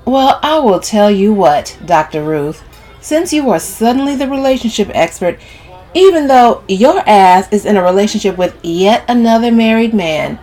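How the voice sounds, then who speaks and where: A woman narrator voicing a brash, sharp-tongued, scornful woman in an audiobook story, with sassy and profane delivery. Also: medium pitch, expressive, normal speed, pitched above the performer's own voice, lightly acted. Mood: defiant, mocking.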